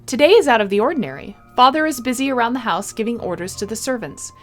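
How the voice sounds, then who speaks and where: A woman reading a visual novel aloud in an expressive storybook style, with casual playful commentary. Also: a little high, quick pace. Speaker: video game streamer. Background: game music.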